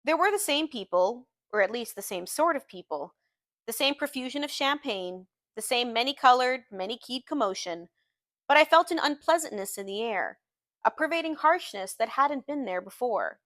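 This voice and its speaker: A woman's voice: a little high, lively intonation, moderate pace, a bit bright, dry recording, studio quality. A woman reading a literary novel aloud in the manner of an audiobook narration.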